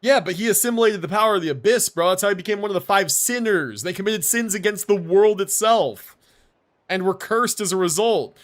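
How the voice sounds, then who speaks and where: A man's voice: sits high, fairly quick. A young man streaming games, chatting casually with slangy, profane phrasing. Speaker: game streamer. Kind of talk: casual livestream chat.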